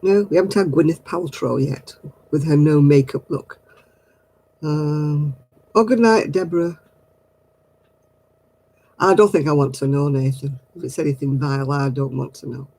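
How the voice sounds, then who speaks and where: A man's voice: on the high side, normal speed, vocal fry, long pauses, darkish tone, breathy edge, low-quality recording. A middle-aged man, hosting a casual craft livestream and chatting with viewers in a giggly, rambling way. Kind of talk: casual chat answering viewer comments.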